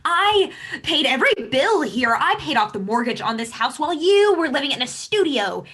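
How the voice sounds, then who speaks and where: A woman's voice: high voice, big pitch swings, normal speed, fairly bright tone. A young woman playing a pragmatic, defensive but caring older sister in a stage drama, speaking insistently and persuasively. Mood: defensive, regretful. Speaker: student actor. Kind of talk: naturalistic stage drama acting.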